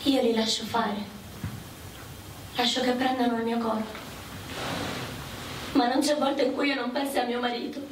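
An actress playing a gentle, sorrowful widowed mother forced into wartime prostitution, earnest and hesitant in a radio drama. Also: fairly high pitch, fairly even intonation, moderate pace, long pauses, lightly acted. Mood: resigned, wistful. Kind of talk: dramatic radio play dialogue. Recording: roomy, distant mic with faint noise.

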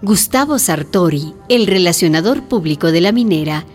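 A woman's voice: lowish voice, lively intonation, moderate pace, darkish tone, soft background music, lightly acted. A woman narrating a radio drama in a composed, scripted storytelling style.

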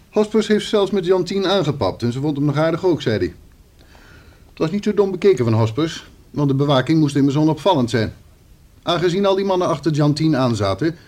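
An actor playing a talkative, matter-of-fact, slightly defensive police officer in a Dutch radio play. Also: male voice, fairly high pitch, darkish tone.

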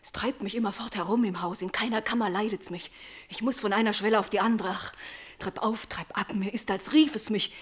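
An actress playing a fearful, trapped young princess who longs for life, pleading breathlessly in a classical radio tragedy. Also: female voice, medium pitch, fairly quick, husky, lightly acted. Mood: anguished, afraid. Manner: pleading, breathless, fearful, rising into desperate outcry. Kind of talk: classical German stage-tragedy radio acting.

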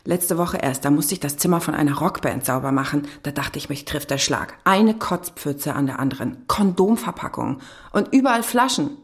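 A cleaning woman in an audio drama, with a lowish voice. She is blunt and big-hearted, and she rambles on coarsely from one thing to the next. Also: expressive, normal speed. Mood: chatty, blunt. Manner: garrulous, coarse, tumbling from topic to topic.

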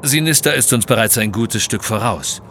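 A man's voice: fairly low pitch, moderate pace, a bit bright, a little hiss or hum. A man voicing a determined, bold male adventurer who speaks decisively in an audio story.

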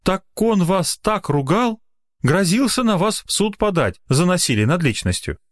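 A man's voice: high voice, sing-song, normal speed, voice pushed up. A man voicing a sympathetic female nurse in a story, speaking in a soothing, gossipy way.

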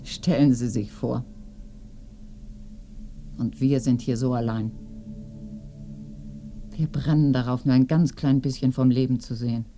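An actress in a radio drama playing a lonely, remorseful, secluded village woman with a soft, breathy, brooding delivery. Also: a bass voice, fairly even intonation, a little slow, long pauses. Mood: lonely, confiding. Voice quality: soft, breathy, often whispering. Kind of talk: intimate radio play acting. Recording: roomy binaural radio drama recording.

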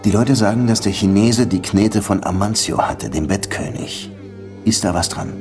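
An actor playing a world-weary, hard-boiled middle-aged police detective in a German radio crime drama. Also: male voice, fairly low pitch, moderate pace, music in the background, lightly acted. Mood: probing, terse. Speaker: lead actor and first-person narrator.

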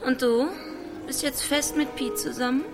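A woman's voice: high voice, fairly even intonation, normal speed. An actress playing a condescending young barmaid in a radio drama, knowing and teasing in the way she talks. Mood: smug, needling. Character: smug, condescending young barmaid who runs a pub bar. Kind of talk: naturalistic radio drama acting in German. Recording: music playing behind in bar scene.

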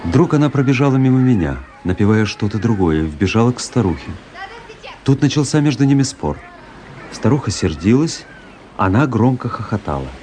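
An actor playing a self-assured, ironic young officer who tells his story in measured, literary fashion in a radio drama. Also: mid-range voice, sing-song, dark tone, slight background noise, natural voice. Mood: fascinated, observing.

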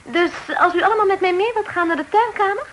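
A woman's voice: very high-pitched, quick pace. A woman playing a cheerful, well-bred young lady in a radio drama, polite and gracious like a hostess. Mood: cheerful, reassuring. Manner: lively, polite, genteel. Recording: noisy old radio drama recording.

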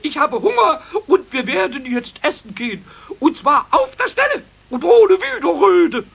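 A childish little kobold for a children's radio play. The voice is extremely high and creaky and swoops around excitedly. He is cheeky, stubborn and whiny. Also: moderate pace, exaggerated voice. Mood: whiny, bossy. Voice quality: squeaky, creaky. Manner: bossy, defiant, repetitive stammering outbursts. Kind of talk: 1970s German children's radio play acting.

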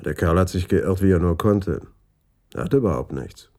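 Male detective for radio crime drama: fairly low, dark tone, businesslike and decisive. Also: lively intonation, moderate pace. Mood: exasperated. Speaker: police detective.

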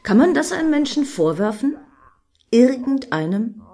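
A woman's voice: high voice, very animated, moderate pace, mellow. Earnest, indignant female devotee, swooping intonation. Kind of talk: German radio play dialogue. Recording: faint noise and clicks.

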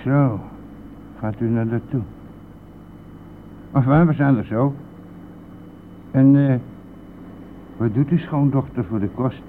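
An actor playing a cheeky, nosy, grumbling village bus driver in a radio play, with a teasing, blustering manner. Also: male voice, medium pitch, takes it slowly, long pauses, close to an everyday voice. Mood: prying. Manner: teasing, blustering, chuckling at own jokes. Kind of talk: Dutch radio play acting. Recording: tinny narrow-band old radio recording, vehicle noise.

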